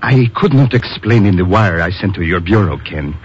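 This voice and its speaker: Courteous, secretive doctor, formal and very expressive, muffled vintage radio drama with slight hiss. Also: male voice, low voice, normal speed. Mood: grave, confiding. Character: warm, courteous Latin American male doctor, secretive old friend. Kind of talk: 1950s radio drama acting. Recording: dull, faintly noisy old radio recording.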